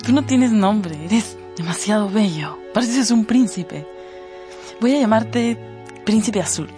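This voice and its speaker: A naive young woman in love in a radio drama. She speaks slowly and tenderly at a medium pitch, with a girlish, theatrical delivery. Music sits faintly behind her. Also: lively intonation, warm tone. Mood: enchanted. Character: naive, lovestruck, affectionate young actress.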